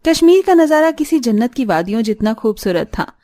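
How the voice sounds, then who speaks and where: A woman's voice: a little high, big pitch swings, brisk, mellow, no echo. A woman narrating a scripted cartoon story as the storyteller.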